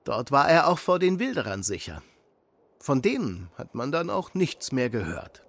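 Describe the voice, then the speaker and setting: Gentle, husky, creaky-voiced father. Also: medium pitch, very animated, moderate pace. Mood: patient, earnest. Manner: patient, explaining. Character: gentle widowed father explaining faith to children. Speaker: actor in a children's audio drama. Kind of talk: German children's radio play acting.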